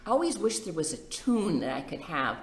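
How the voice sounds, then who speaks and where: A woman's voice: lowish voice, very animated, a little fast, vocal fry. A woman hosting a casual library storytime, chatting directly to young children. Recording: echoey room with faint background noise.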